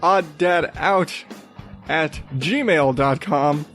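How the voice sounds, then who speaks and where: A man hosting his own podcast, rambling casually with restarts and the odd swear, in a rather deep voice while coming down with a cold. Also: very slow, fairly bright tone, faint music behind. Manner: rambling, loose, with swearing and self-interrupting restarts. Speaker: podcast host. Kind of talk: casual solo podcast talk.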